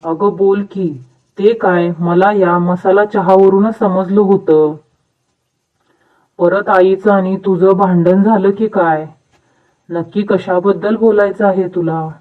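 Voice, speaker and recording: A man's voice: high-pitched, fairly even intonation, slow. Thin phone-like young husband played by a man. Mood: casual, inquiring. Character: devoted, reasonable young husband, protective of his mother. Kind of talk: animated moral story dubbing. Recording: muffled, narrow phone-like sound, distant.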